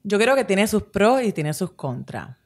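A woman's voice: mid-range voice, big pitch swings, unhurried. A woman in her forties with a Puerto Rican accent, chatting candidly as an interview guest, talking with her hands and slipping into English phrases. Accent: Puerto Rican Spanish. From Puerto Rico. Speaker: guest, presenter and actress. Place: small studio with a table. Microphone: table mic on a stand.

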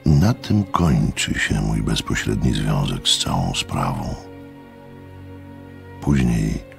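An actor playing an inquisitive, courteous gentleman who recounts a strange case in a radio drama, with formal, polite speech. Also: extremely low pitch, creaky, heavily acted. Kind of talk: Polish radio drama acting and narration.

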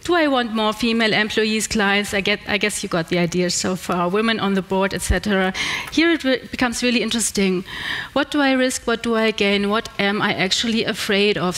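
A woman's voice: mid-range voice, a little fast, few pauses, a bit bright. German lady giving a talk on stage, headset mic, lots of ums and restarts. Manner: hesitant, many fillers and restarts. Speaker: conference speaker. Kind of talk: conference talk with Q&A. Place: large indoor stage.

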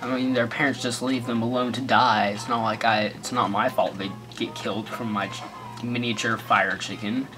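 A man's voice: mid-range voice, little pitch movement, normal speed. American man, let's play commentary, joking and rambling, faint game music behind. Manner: joking, rambling, sarcastic asides.

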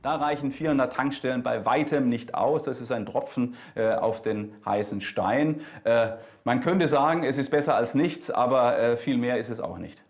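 A man's voice: medium pitch, moderate pace, hardly any pauses, a little mellow. A man in his forties with a German accent gives interview commentary in hesitant phrases. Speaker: automotive expert. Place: small office indoors.

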